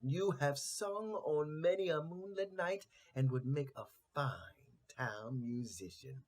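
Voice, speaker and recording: A man's voice: a little high, slow. A man voicing a kindly, upbeat donkey in a storybook tale, coaxing and friendly as he reassures others. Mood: encouraging.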